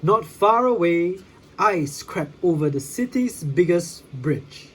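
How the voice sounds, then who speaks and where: An expressive read-along storyteller with a very husky voice. The pitch is animated and dramatic, and the pace is slow enough for young listeners to follow. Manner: dramatic, with sound effects.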